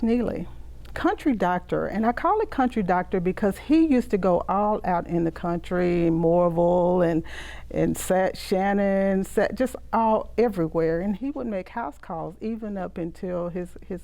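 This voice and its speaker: Mellow middle-aged Southern US woman poet. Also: lowish voice, vocal fry. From Mississippi, United States. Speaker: poet and author reading own poems. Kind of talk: poetry recital with spoken introductions.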